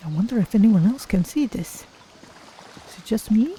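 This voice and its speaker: A woman voicing a curious, cautious female cat-folk adventurer in a game, musing aloud in measured, formal phrasing. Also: medium pitch, animated, dark tone, slight background noise, close to an everyday voice. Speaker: streamer voicing a game character. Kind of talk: in-character game playthrough narration.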